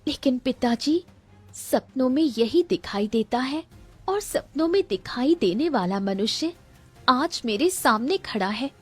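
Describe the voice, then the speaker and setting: Woman as young princess, cartoon fable, high voice, earnest, emotional, pleading, faint music bed. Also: lively intonation, a little slow, breathy edge, exaggerated voice. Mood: earnest, determined. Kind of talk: animated fairy tale character voice.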